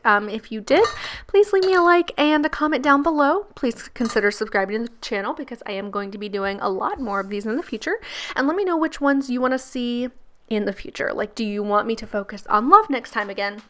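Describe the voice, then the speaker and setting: A woman with a croaky, gravelly voice giving a casual pick-a-card tarot reading, chatty and laughing often. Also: on the high side, animated, fairly quick, fairly bright tone. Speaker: tarot reader and channel host.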